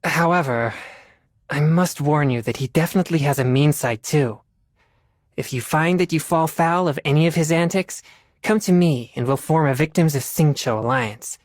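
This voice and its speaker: A man's voice: on the high side, no room sound, studio quality, lightly acted. An actor playing an earnest, disciplined teenage boy exorcist in a video game, speaking formally in a youthful voice. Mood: admiring, earnest. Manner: formal, measured, earnest delivery. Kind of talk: scripted video game character dialogue. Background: music.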